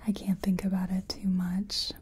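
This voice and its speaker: A woman softly whispering in an ASMR roleplay as a makeup artist, breathy and gentle, with a hesitant, murmuring delivery. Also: mid-range voice, monotone, slow pace. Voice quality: breathy, mostly unvoiced whisper.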